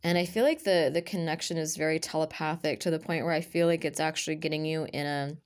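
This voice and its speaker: A woman with a raspy voice and a lingering cough, reading tarot cards to camera in a casual chat and half-whispering card names as she lays them out. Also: low voice, steady tone, quick pace. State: recovering from a cough. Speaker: tarot reader. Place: indoors at a table with a purple cloth. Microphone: camera over her hands, speaker off-frame.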